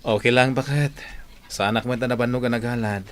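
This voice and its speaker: A man's voice: mid-range voice, fairly even intonation, brisk, smooth, natural voice. A man voicing an earnest younger brother in an Ilocano radio play, protesting against being cheated. He speaks in a middle register with an Ilocano accent. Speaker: radio drama actor.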